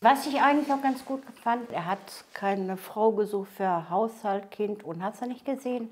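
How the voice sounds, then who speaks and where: A woman's voice: mid-range voice, normal speed, slight background noise. Cheerful woman in her sixties. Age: 60s. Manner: cheerful, often laughing. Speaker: interviewee. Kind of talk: TV interview.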